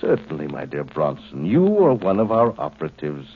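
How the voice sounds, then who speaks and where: An actor playing a cold, superior alien being in a human body, speaking precisely and with detachment, in an old 1950s radio drama. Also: male voice, rumbling low, huge pitch swings, moderate pace, heavily acted. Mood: coldly superior. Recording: dull old radio broadcast with faint hiss.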